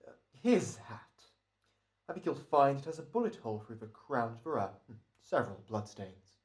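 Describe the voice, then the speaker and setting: A man's voice: medium pitch, big pitch swings, normal speed, a little mellow, natural voice. A man playing a smug, careful hired killer, speaking with hesitant, mannered politeness in a radio drama. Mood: hesitant, polite. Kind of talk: old-time radio drama acting.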